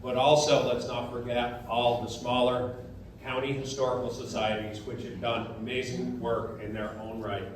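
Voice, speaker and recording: A man with a mid-pitched voice gives an informal speech of thanks as a guest at a museum. The space is heavily reverberant and he is picked up from some distance. Faint noise sits under the recording. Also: flat delivery, moderate pace. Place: indoors in a museum. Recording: very echoey room, distant mic, faint noise.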